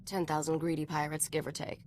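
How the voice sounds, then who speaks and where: A woman's voice: deep voice, level tone, a little fast, darkish tone, slight background noise, close to an everyday voice. A woman voice-acting a cool, knowing smuggler informant in a video game, wry and composed as she tells stories.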